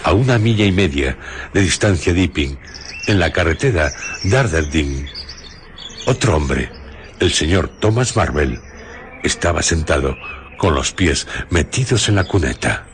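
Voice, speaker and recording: A man narrating a scripted radio drama in a measured, literary way. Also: deep voice, lively intonation, background noise.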